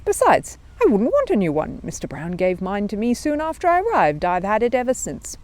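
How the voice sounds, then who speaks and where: A woman's voice: mid-range voice, wildly swooping pitch, fairly quick, darkish tone, a little hiss or hum, voice pushed up. A woman voicing a polite, worried young male bear in a children's storybook, plaintive and indignant. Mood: sad, worried. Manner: hotly exclaiming, plaintive.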